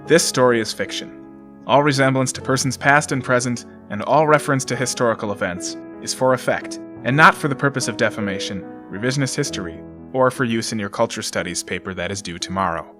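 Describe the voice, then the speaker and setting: A man's voice: medium pitch, fairly quick, bright, forceful tone, soft background music. A man narrating an audio drama, reading letters and documents in a scripted style.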